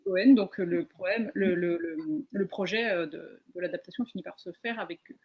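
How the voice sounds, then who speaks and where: A woman's voice: fairly low pitch, brisk, darkish tone. A woman speaking as a comic book writer on a panel, hesitant and often restarting her phrases. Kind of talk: spontaneous panel account.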